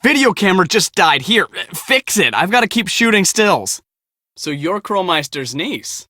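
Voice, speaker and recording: A man's voice: sits high, very animated, unhurried, bright, forceful tone, dry recording, studio quality, full character voice. A man playing a chatty, enthusiastic young storm-chasing photographer in casual video game dialogue. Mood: urgent, eager.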